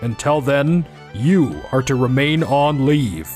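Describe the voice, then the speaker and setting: An actor playing a grave, middle-aged company president and father in a manga dub, formal and measured. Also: mid-range voice, lively intonation, darkish tone, soft background music. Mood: stern, disappointed.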